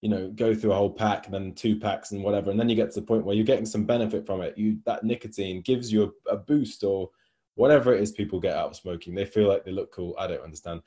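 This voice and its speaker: A man with a mellow, darker tone and a lowish voice, chatting on a podcast as a coach. He talks loosely, with plenty of fillers like "like" and "you know". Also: fast talker. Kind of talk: casual podcast discussion.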